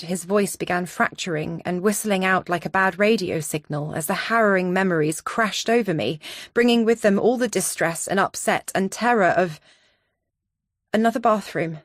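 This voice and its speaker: Female thriller narrator: slightly low pitch, tense dramatic first-person reading, dry studio recording. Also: dry recording. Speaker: audiobook narrator.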